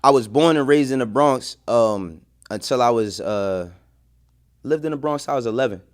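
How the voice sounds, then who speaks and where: A man in his thirties with a New York accent, a podcast guest telling animated stories in casual chat. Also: mid-range voice, moderate pace. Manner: animated storytelling, repeats "you feel me".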